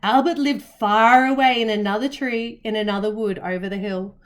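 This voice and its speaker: A woman narrating a picture book for young children, with expressive, carefully phrased storytelling. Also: a little high, moderate pace.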